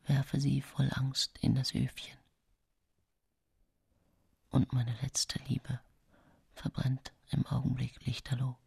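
Secretive middle-aged poisoner, breathy half-whisper, creaky edge, anxious, slow, radio drama. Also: female voice, extremely low pitch, flat delivery, dark tone, dry recording, studio quality, heavily acted. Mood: fearful, hushed. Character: anxious, secretive 19th-century woman poisoner confessing her story. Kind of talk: dramatic radio play monologue.

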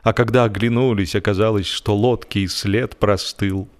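A man voicing an indignant boatman who has been stranded, with a drawn-out, aggrieved delivery. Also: on the low side, steady tone, natural voice.

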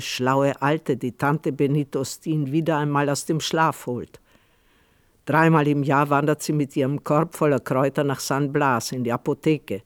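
A man's voice: on the high side, no room sound, clean studio sound, close to an everyday voice. A man narrating a literary radio drama in a scripted storytelling style.

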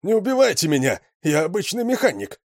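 A man's voice: on the high side, big pitch swings, normal speed, darkish tone, slightly rough, no echo, clean studio sound, pitched above the performer's own voice. A man doing a whiny young gang flunky. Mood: pleading. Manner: whining, pleading. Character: whiny, cowardly young male gang underling.

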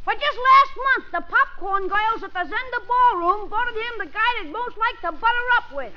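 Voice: very high voice, moderate pace. An actor playing a brash, wisecracking young grocery boy in a 1950s radio comedy, spinning sarcastic praise toward punchlines. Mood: mock-admiring. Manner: brash, mock-admiring, punchline delivery. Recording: muffled vintage radio, studio audience, hiss.

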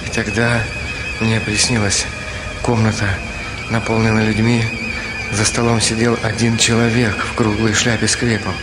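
An actor playing an irritable, haunted middle-aged gentleman in a Russian radio drama, both in dialogue and in first-person narration. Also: mid-range voice, close to an everyday voice, rough recording. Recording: radio play with loud music bed.

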